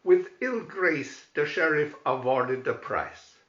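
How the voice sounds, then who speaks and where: Slow, theatrical male storyteller, very lively pitch. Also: roomy sound, dull audio. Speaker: storybook narrator. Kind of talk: dramatic storybook reading.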